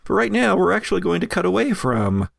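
A man working as game master, improvising descriptive second-person narration of a tabletop game in a halting way. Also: sits high, big pitch swings, vocal fry, fairly bright tone, no echo, studio quality.

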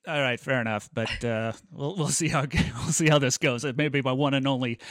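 A man's voice: medium pitch, fairly quick, fairly bright tone, no echo, studio quality. A man talking casually as a podcast co-host, rambling along enthusiastically with a joking streak.

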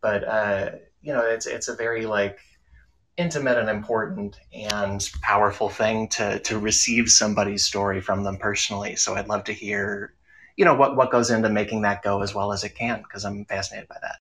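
A man with a fairly low, bright and forward voice talks as a panel guest on podcasting. He is enthusiastic and conversational, often halting and repeating small words as he goes. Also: steady tone, rough recording. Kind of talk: casual panel discussion.

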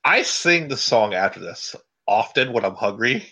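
A man co-hosting a casual chat about old cartoons, jokey and quick to break into silly sung bits. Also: on the low side, expressive, pushed and bright, slightly rough, low-quality recording. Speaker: podcast co-host.

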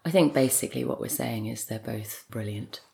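A woman, a playwright guest, chatting casually in a thoughtful, halting, playful way. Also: extremely low pitch, creaky, dark tone. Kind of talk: casual chat.

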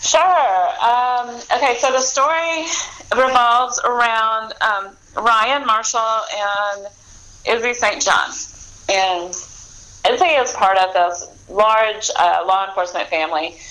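A woman's voice: fairly high pitch, slow pace, sharp tone, rough recording. A woman author being interviewed, talking casually with many fillers and restarts. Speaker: author guest.

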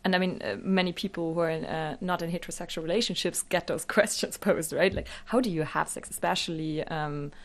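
A woman with a slightly low voice, speaking as a native German speaker. She answers as an expert guest in an interview. Her manner is thoughtful and academic as she explains ideas. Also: steady tone, fairly quick, hardly any pauses.

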